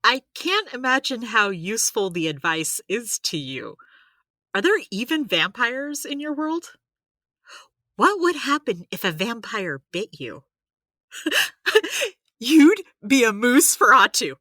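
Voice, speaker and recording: Actress as bright-voiced, wry female healer. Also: a little high, very animated, slow pace, dry recording, clean studio sound, exaggerated voice. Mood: teasing. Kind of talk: scripted audio drama dialogue.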